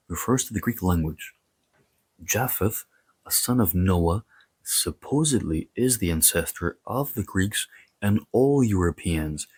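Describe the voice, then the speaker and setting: A man presenting a scripted history and mythology lecture, explaining in short, paused phrases with casual asides. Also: low-pitched, slightly rough, dry recording. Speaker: host of a history and mythology series.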